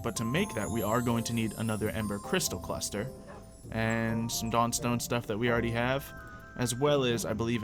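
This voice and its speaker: A man giving casual, chatty gameplay commentary as a let's-player, thinking aloud as he goes. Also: medium pitch. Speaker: video game let's-player.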